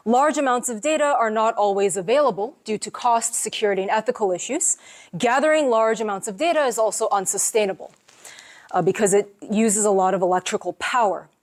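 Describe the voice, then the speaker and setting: A woman from the United States giving an academic seminar lecture as a professor, explaining her lab's work in a relaxed way with frequent fillers. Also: mid-range voice.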